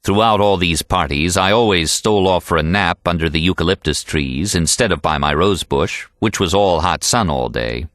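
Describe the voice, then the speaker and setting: American guy reading a novel audiobook, low voice, very dry recording. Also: moderate pace, hardly any pauses, a bit bright, no echo. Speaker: audiobook narrator. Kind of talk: scripted literary narration of a novel.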